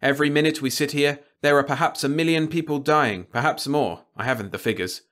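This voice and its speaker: A man's voice: mid-range voice, moderate pace, a bit bright, voice pushed up, lightly acted. A man voicing an earnest, determined male news operator who talks in an urgent, insistent way.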